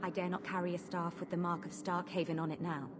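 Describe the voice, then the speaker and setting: Woman acting fugitive game mage, slightly deep pitch, grave, proud, formal, wary. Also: level tone, fast, lightly acted. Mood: grateful, solemn. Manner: formal, solemn. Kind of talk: dramatic video game acting. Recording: clear ambient noise behind the voice.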